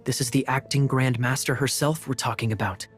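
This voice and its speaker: A man voicing a smooth, wry knight captain with courtly manners and soft chuckles, for a game. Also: medium pitch, steady tone, a little fast, faint music behind, close to an everyday voice. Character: suave, scheming young knight captain. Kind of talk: game voice acting.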